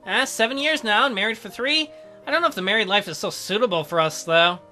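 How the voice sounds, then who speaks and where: A man voicing a shy, gentle, awkward doctor in a visual novel, with a hesitant and mild manner. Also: sits high, fast talker, faint music behind. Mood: wistful. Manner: hesitant, mild, softly apologetic.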